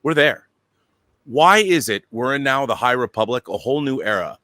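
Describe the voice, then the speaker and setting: A man's voice: mid-range voice, lively intonation, normal speed, sharp tone, no echo. A man giving emphatic, opinionated panel commentary, repeating himself and asking rhetorical questions. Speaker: show panelist.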